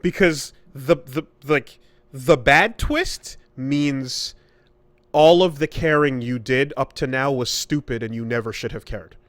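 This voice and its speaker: Guy on a podcast, medium pitch, super dry sound, wry casual chat with some swearing. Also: measured pace, no echo. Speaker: podcast co-host. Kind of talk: casual conversational commentary.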